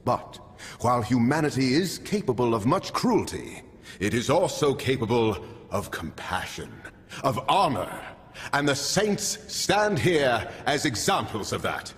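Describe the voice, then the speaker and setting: An actor voicing a grand, courtly male raptor king in a game cutscene, speaking formally with booming proclamations. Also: big pitch swings, a little slow, a little hiss or hum. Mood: solemn.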